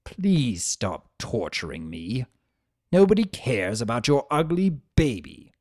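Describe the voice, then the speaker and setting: A man's voice: mid-range voice, very animated, takes it slowly, a little mellow, no echo, studio quality. A man voicing a vain, spiteful spirit of chaos trapped as a statue, telling a story in a theatrical, sardonic, disdainful way. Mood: bored contempt. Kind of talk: first-person dramatic fan fiction reading.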